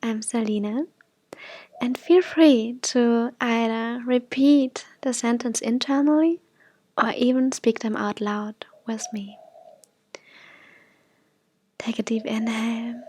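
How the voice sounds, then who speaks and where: Softly whispery woman guiding meditation, slow and high. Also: fairly even intonation. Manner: soft, breathy, often dropping to a whisper. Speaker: meditation guide. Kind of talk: guided affirmation meditation.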